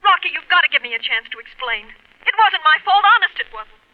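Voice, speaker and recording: An actress playing a nervous young radio actress, pleading in an overwrought, breathless melodramatic 1940s style. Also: female voice, high voice, lively intonation, brisk. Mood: frantic pleading. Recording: thin, phone-like 1940s radio recording.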